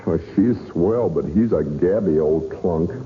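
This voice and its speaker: An actor playing a bashful, eager young suitor with boyish slang and a stammering manner in 1940s radio comedy. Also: male voice, low-pitched, measured pace, phone-quality sound. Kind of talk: 1940s radio sitcom acting. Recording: noisy, thin 1940s radio broadcast.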